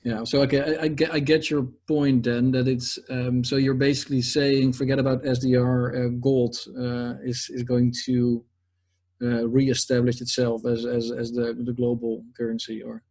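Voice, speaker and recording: A man's voice: mid-range voice, level tone, moderate pace, a little mellow. A man hosting an interview, asking probing questions in a conversational way. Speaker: host.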